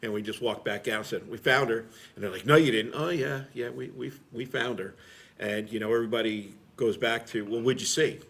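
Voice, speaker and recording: A man's voice: medium pitch, brisk, vocal fry, fairly bright tone. A middle-aged man telling stories casually as an interview guest, plain-spoken and rambling, with frequent filler phrases. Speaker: interview guest, veteran firefighter.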